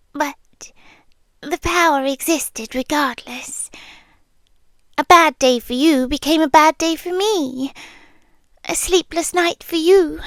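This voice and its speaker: A British woman playing a possessive, devoted yandere girlfriend in an intimate ASMR roleplay, soft and breathy with dramatic pauses, often slipping into whispers. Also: high-pitched, dry recording. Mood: tender, confiding. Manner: slow, breathy, dramatic pauses, lovesick intensity.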